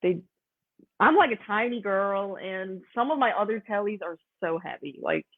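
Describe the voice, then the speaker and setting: A woman's voice: medium pitch, animated, normal speed. Swiss-accented woman over a tinny line. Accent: Swiss German. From Switzerland. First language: Swiss German. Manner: chatty, laughs often. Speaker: guest musician. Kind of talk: casual interview chat.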